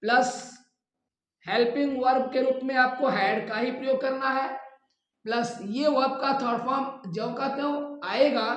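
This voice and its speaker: A man's voice: very high-pitched, fairly even intonation, measured pace, a bit bright, highs cut off. A man teaching a grammar lesson in a didactic way, repeating phrases as if for dictation. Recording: echoey, reverberant room.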